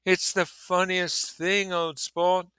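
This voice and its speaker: Guy playing rich lovestruck gent from a storybook, kinda giddy n theatrical, pitched up high, bright. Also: little pitch movement, slow, clean tone, no room sound, studio quality, full character voice. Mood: giddy, overwhelmed. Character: dazed, giddy wealthy gentleman in love, genteel storybook hero.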